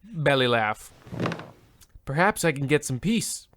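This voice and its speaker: A man's voice: medium pitch, sing-song, slow, fairly bright tone, dry recording. An actor playing a pompous, overwrought husband half out of his wits, theatrical and florid, in a comedic old-time radio play. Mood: weary, grandiose.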